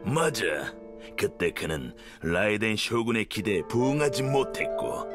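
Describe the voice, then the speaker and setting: A proud, vengeful sword spirit from a video game, speaks in grandiose scorn. The delivery swings widely in pitch, theatrical and contemptuous, with music clearly playing behind it. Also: male voice. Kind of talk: anime-style video game voice acting.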